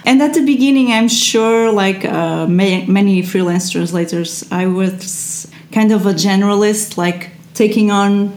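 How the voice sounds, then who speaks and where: A woman's voice: mid-range voice, a little slow, few pauses, a little mellow. A woman, chatting casually as a podcast guest, with many fillers and small laughs. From Portugal. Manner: chatty, frequent fillers and restarts, laughs often. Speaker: guest, SEO translator. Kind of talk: casual interview chat. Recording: echoey room.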